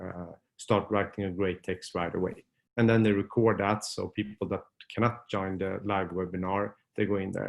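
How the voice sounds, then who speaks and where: A man with Swedish as his first language speaks in a fairly low, gravelly voice through a computer mic. He talks loosely and chattily as a webinar host, filling pauses and restarting sentences as he walks through his screen. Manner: loose and conversational, many fillers and restarts. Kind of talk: casual screen-share webinar walkthrough.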